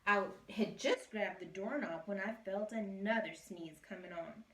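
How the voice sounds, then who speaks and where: A woman's voice: medium pitch, a little slow, a bit bright, slight background noise, natural voice. A woman reading as a wronged wolf. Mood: aggrieved, chatty. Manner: chatty, wry, self-justifying storytelling. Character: self-pitying male wolf telling his side. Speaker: teacher reading aloud. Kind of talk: storybook read-aloud. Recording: roomy echo, distant mic.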